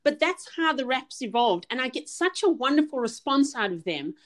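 A woman's voice: sits high, big pitch swings, moderate pace. A middle-aged woman with a South African accent, a personal trainer and motivational speaker. She talks chattily and earnestly as a podcast guest, with many restarts and fillers. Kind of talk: casual podcast interview.

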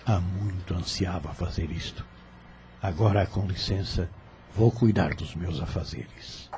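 A smooth scheming landowner. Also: male voice, deep voice, unhurried, darkish tone, a little hiss or hum. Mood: persuasive. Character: scheming landowner man wooing a young heiress. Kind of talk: radio drama acting.

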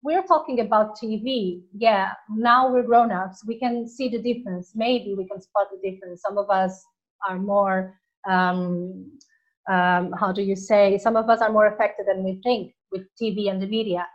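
A woman's voice: mid-range voice, steady tone, normal speed, dull audio. A woman with a Portuguese accent hosting a casual conversation, speaking earnestly and now and then searching for words. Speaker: host.

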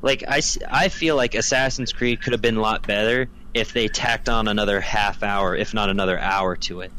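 Male podcast guest, lowish voice, rambling and emphatic casual opinions, slightly noisy recording. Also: steady tone, brisk, pushed and bright. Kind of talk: casual chat giving opinions on films. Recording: clear background noise.

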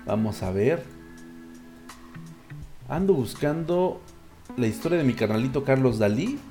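A man's voice: mid-range voice, expressive, measured pace, mellow, faint music behind. A man with a Mexican accent hosting a casual online show, chatting and reading listeners' messages in crude, jokey slang. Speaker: host of a live listener-call-in style online show.

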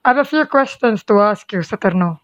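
A woman's voice: medium pitch, animated, brisk, dry recording, dull audio, lightly acted. A woman playing a formal police inspector in an audio drama. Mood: formal, firm.

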